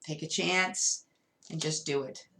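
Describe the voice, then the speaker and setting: Low-voiced woman reading tarot cards. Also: steady tone, takes it slowly, gravelly, bright, forceful tone, slight background noise. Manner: emphatic, repeats words, addresses viewer directly. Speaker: tarot reader. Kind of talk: tarot card reading monologue.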